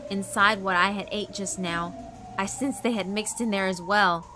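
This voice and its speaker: A woman giving a measured, expressive, scripted narration of a horror story. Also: mid-range voice, a little hiss or hum, rough recording. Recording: background music under the voice.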